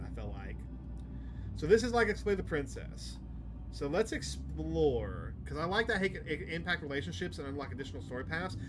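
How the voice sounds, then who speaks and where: A man's voice: on the high side, rough recording. A man livestreaming a game, chatting casually and reading text aloud in a chatty, easily amused way. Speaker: streamer playing a visual novel. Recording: music playing behind the voice.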